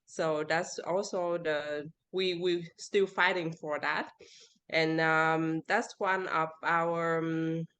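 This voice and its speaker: A woman with a Vietnamese accent speaking English as a second language, answering interview questions haltingly and searching for words. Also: sits low, little pitch movement, fairly bright tone. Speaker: interview guest. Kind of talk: casual interview.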